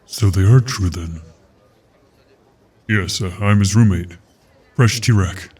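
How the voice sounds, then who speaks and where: A man's voice: low voice, slow pace, a little mellow, breathy edge, distant mic, exaggerated voice. A man playing a grave, terse alien warrior in an audio drama, imposing, stern and loyal.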